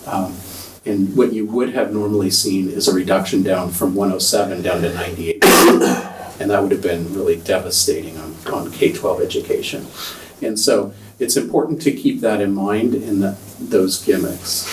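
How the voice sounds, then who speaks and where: Man, soft voice, lowish pitch, board meeting presentation, heard from afar in a big room. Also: fairly even intonation, normal speed. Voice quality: soft-spoken. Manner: hesitant, many ums. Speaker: school district finance presenter. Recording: echoey room, distant mic, faint noise.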